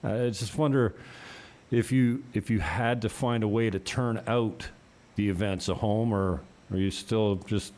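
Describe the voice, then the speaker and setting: A man from Canada hosts a casual interview and asks long, chatty, rambling questions. His voice is dark and mellow and sits slightly low. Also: steady tone, unhurried. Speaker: podcast host.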